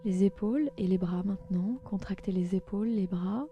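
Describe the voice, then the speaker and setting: Female meditation guide, calm and gentle, mid-pitched, spaced phrasing, music underneath. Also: dull audio. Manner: calm, gentle, slow instructions with pauses. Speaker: podcast host and yoga nidra guide.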